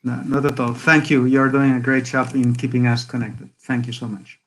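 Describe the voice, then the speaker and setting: A man's voice: medium pitch, flat delivery, moderate pace, a bit bright, poor audio. A man speaking as a guest on an online call, in a polite, conversational way. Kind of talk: casual video-call conversation.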